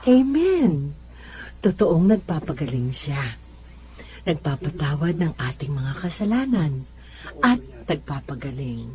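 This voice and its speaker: A woman's voice: sits low, very animated, slow, pauses often, darkish tone, exaggerated voice. An actress playing a devout, caring neighbour woman, earnest and reassuring, in a radio drama. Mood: earnest explaining. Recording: produced radio drama with music.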